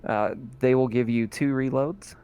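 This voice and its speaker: A man running a tabletop role-playing game as game master, relaxed and chuckling often. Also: medium pitch, a little slow, smooth.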